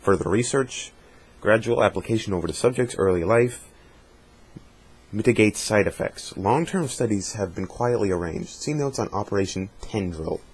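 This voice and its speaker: A man giving a measured dramatic reading of a journal, sometimes stumbling over technical words. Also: on the low side, a little mellow, slightly rough, rough recording. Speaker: amateur reader of fan fiction journal.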